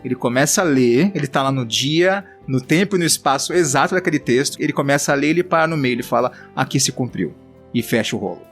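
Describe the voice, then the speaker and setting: A Brazilian man co-hosting a relaxed Bible podcast, joking and acting out quotes as he talks. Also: mid-range voice, fast talker, soft background music. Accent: Brazilian Portuguese. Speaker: podcast co-host. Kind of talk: casual Bible commentary chat.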